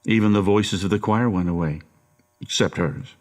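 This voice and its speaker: A man's voice: lowish voice, normal speed, natural voice. An actor playing a menacing, controlling middle-aged father in an audio horror drama, with a folksy, coaxing, domineering manner. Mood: wistful, haunted.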